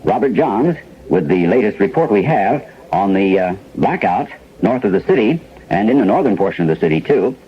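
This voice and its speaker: An actor playing a calm, businesslike radio newsroom anchor in a 1970s mock-newscast drama, reading hesitantly with pauses. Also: male voice, fairly low pitch, breathy edge. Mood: measured, serious. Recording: dull, lo-fi old radio broadcast recording.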